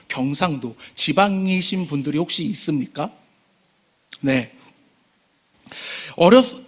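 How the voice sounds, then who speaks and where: A young man preaching a sermon as pastor in a church sanctuary, earnest, pushing up on key phrases and dropping quiet at sentence ends. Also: fairly high pitch.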